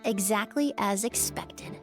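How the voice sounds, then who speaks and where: A woman playing a clever, composed young apprentice turned detective in a video game, measured and polite. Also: medium pitch, music in the background, lightly acted. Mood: confident, explaining.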